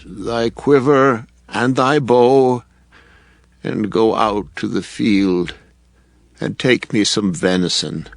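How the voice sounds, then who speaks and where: Slow, frail old father. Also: medium pitch, no room sound. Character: old, frail, dim-eyed father, solemn and uncertain. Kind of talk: dramatized scripture acting.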